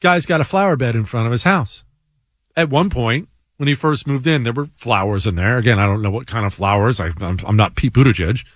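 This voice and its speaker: A man's voice: mid-range voice, brisk, no room sound, clean studio sound. A man hosting a talk show, giving a blunt, combative monologue and reading listener emails aloud. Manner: blunt and combative, stammers on restarts. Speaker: show host.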